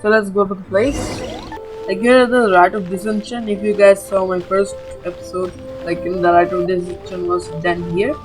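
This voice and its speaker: A woman's voice: medium pitch, little pitch movement, moderate pace, rough recording. A woman giving casual, informal gameplay commentary as a video host, with frequent filler words. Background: game music.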